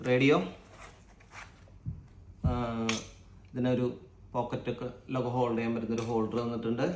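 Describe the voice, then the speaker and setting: A man talks casually through an unboxing in a very echoey room with faint noise behind him. His voice sits at a middling pitch, and he works things out aloud without a script. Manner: unscripted, thinking aloud. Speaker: vlogger.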